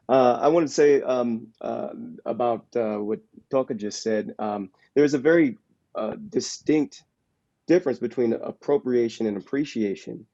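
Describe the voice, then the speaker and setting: A man from the Bay Area talks things through on a panel, pausing often and feeling his way toward each point. His voice is mellow and warm, at an ordinary pitch. The video-call audio comes through dull and lo-fi. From San Francisco Bay Area. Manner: halting, reflective, searching for words. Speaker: capoeira practitioner and actor on a panel. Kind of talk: casual panel discussion.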